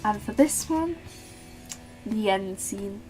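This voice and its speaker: A teenage girl talking casually about books in a vlog, with a calm, flat-toned and slightly awkward way of speaking. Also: mid-range voice, takes it slowly, faint music behind, poor audio. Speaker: book vlogger. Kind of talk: casual book tag vlog.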